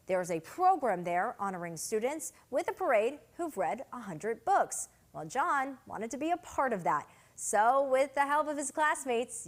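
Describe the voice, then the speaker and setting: A woman presenting upbeat news stories in a clear, scripted anchor delivery. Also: on the high side.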